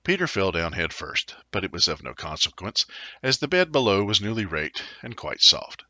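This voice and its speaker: A man reading a children's story aloud in an animated, theatrical way. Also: deep voice, normal speed, dry recording, highs cut off. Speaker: storybook reader. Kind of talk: expressive children's story narration.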